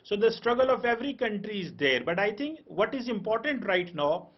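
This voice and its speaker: A man's voice: sits high, very animated, brisk, dull audio. A man arguing as a panelist in a heated TV debate, switching between languages. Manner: argumentative, switches between English and Urdu.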